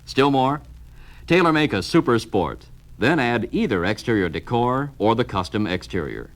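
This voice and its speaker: Animated American man narrating a 1970s promo film. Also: medium pitch, normal speed. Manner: punchy, emphatic salesman delivery with clipped phrases. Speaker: narrator of a car dealer training film. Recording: vintage 1970 vinyl record soundtrack. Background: music.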